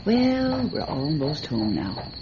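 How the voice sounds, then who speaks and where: A gentle, indulgent farm mother, has a lowish voice with lively rises and falls. She speaks in an old radio drama with noise and crickets behind her. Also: takes it slowly, heavily acted. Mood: tender. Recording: dull, noisy radio recording with crickets.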